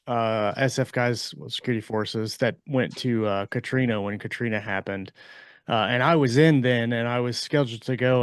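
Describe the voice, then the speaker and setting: An American man chatting casually as a podcast panelist, halting and restarting his thoughts as he recalls things. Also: mid-range voice, no room sound, clean studio sound. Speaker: podcast panelist and Air Force veteran. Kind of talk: casual chat.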